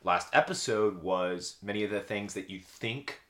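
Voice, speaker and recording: A man's voice: on the low side, normal speed, fairly bright tone. A man hosting a comedic health podcast, chatty and playful in his reactions. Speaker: podcast host.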